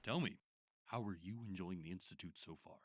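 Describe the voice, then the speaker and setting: An actor playing a probing psychiatrist who runs an institute, speaking in a measured, formal way in an audio drama. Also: male voice, deep voice, no room sound, clean studio sound. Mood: politely probing. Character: smooth, probing male psychiatrist running an institute.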